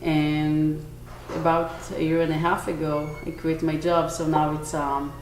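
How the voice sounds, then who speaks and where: A woman in her forties from Israel with an Israeli accent, a bakery owner answering interview questions earnestly, pausing to search for words. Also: deep voice, little pitch movement, a little mellow. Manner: earnest, with small restarts and searching pauses. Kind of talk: on-camera interview. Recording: echoey room, faint noise.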